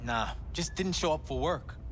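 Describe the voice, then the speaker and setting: An actor playing a nervous young male club worker who hedges and stumbles through his answers over soft club music. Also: a little high, lively intonation, normal speed, slight background noise, close to an everyday voice. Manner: hesitant, evasive, fidgety.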